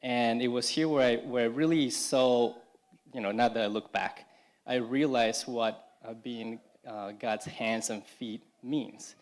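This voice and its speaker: Halting man giving a church testimony. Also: mid-range voice, steady tone. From Colombia. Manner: halting, many restarts and "you know". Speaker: congregation member giving testimony. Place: church service.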